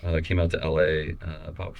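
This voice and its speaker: A young adult man from Missouri, a photographer talking casually in an interview, relaxed and halting with many restarts and fillers. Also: very deep voice, gravelly, lo-fi sound. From Missouri, USA. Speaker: photographer guest.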